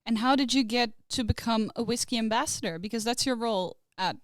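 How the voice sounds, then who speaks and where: A woman's voice: a little high, fairly quick, no room sound. A woman hosting a podcast interview, speaking English as a second language, asking careful questions and giving short prompts. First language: not English. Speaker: podcast host.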